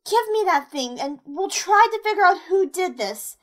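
A woman's voice: very high voice, lively intonation, moderate pace. A woman doing a sassy, flirty female monster. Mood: defensive. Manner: provocative, sassy drawl. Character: flirty brash female monster, teasing troublemaker. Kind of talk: fan audio drama acting.

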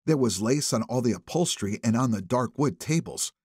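A male audiobook narrator with a husky voice and very lively pitch. He reads first-person thriller prose dramatically, building suspense, in a dry close sound. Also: a little fast, dry recording. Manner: expressive, suspenseful phrasing.

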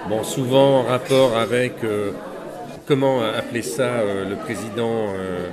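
A middle-aged man from the audience speaking articulately and deliberately in a street-style interview. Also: medium pitch, fairly even intonation. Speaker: audience member. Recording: busy crowd behind.

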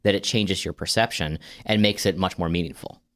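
A man's voice: sits low, brisk, a bit bright. A man co-hosting a casual gaming podcast, explaining his points conversationally and often rephrasing them. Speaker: podcast host. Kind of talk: casual podcast discussion of video games.

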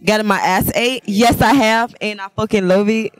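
A woman's voice: medium pitch, fairly even intonation, moderate pace, dry recording. A drunk young woman from Memphis with a Southern US accent, talking brashly and profanely in a casual street interview. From Memphis, Tennessee. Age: young adult. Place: outdoor sidewalk outside a venue at night. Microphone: handheld microphone. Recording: busy nighttime street crowd behind.

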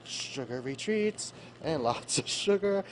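A man's voice: fairly high pitch, expressive, takes it slowly, a little hiss or hum, poor audio. A young man reporting live from a bakery kitchen on a handheld mic, bantering in a jokey, upbeat way. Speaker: field reporter. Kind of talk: live morning news segment.

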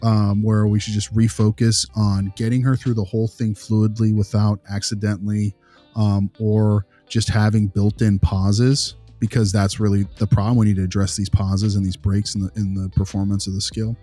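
A man's voice: lowish voice, level tone, normal speed, warm tone, soft background music, lightly acted. A man playing an easygoing behavior consultant, talking informally and reassuringly in a role-played meeting with a parent. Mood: explaining.

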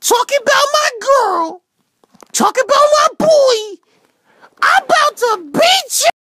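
A woman's voice: extremely high pitch, animated, takes it slowly, pushed and bright, heavily acted. A woman voicing a brash, loyal kid who talks in combative, repetitive slang.

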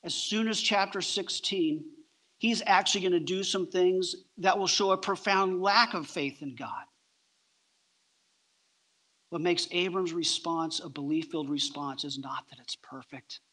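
A middle-aged man preaching a sermon on a church stage, emphatic, with deliberate pauses between short phrases. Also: high voice, sharp tone. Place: large church auditorium, on stage.

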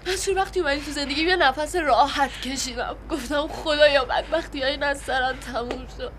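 A woman's voice: high voice, fairly bright tone, slight background noise. An actress playing a young wife pleading with her desperate husband, tearful and insistent. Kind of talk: TV drama acting.

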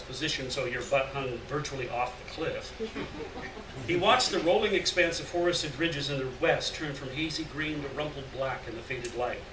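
A middle-aged man giving a live literary reading of scripted story narration in a gallery room. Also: a little high. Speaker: story reader. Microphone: stand mic at lectern, picked up by far camera. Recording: roomy, distant mic, noticeable noise.

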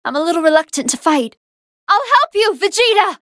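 A woman's voice: extremely high pitch, big pitch swings, fairly quick, fairly bright tone, no echo, heavily acted. A woman playing a good-hearted female android fighter in an anime fighting game, earnest and polite, with emphatic battle lines. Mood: earnest, troubled, then pleading, urgent.

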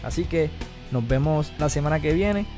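A man from Puerto Rico hosts an interview podcast from a music studio. He talks into a studio mic, relaxed and slangy. Soft music plays under his voice. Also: fairly high pitch, moderate pace. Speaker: podcast host. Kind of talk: casual interview chat. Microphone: studio mic with headphones.

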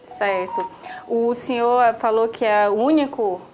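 A woman's voice: fairly high pitch, takes it slowly, background noise. A woman interviewing a political candidate for a news channel, conversational with hesitant fillers.

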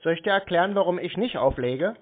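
A man's voice: high-pitched, expressive, fast talker, a loud crowd. A man speaks in a mocking, informal way. The sound is thin and narrow, like a phone call. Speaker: scam baiter on a prank call. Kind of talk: casual confrontational phone chat. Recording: narrow, tinny phone line.

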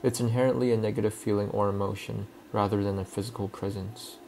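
A young man from Hong Kong, a native Cantonese speaker, calmly narrating a reflective personal voice-over in English. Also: on the low side, vocal fry, a little hiss or hum, low-quality recording. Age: young adult. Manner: calm, reflective, measured. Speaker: student filmmaker.

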